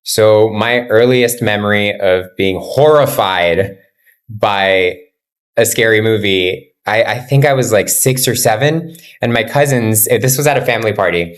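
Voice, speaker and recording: A man's voice: fairly low pitch, measured pace. A young man from Miami chats as a podcast guest, his voice rising and dipping as he tells stories. He laughs often and talks with plenty of energy. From Miami, USA. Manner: animated, laughing storyteller. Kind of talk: casual chat.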